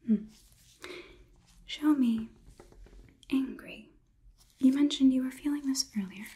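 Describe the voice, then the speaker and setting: A woman's voice: sits high, slow, dark tone. A woman voicing a curious, detached robot overlord in an ASMR roleplay, with stilted formal phrasing, recorded close to the mic. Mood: detached curiosity. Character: curious, clinical female robot overlord studying human emotions.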